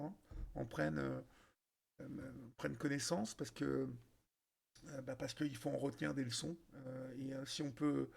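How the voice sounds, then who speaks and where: A man hosting a radio interview, asking gentle, halting questions full of fillers. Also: medium pitch, gravelly, breathy edge. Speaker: radio host. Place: radio studio.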